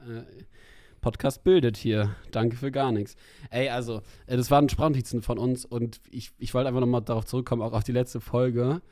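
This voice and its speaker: Young man, podcast co-host, casual chat, rambling, many fillers, mellow tone, dry sound. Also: mid-range voice, steady tone, moderate pace, no room sound. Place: in Paris, remote from co-host.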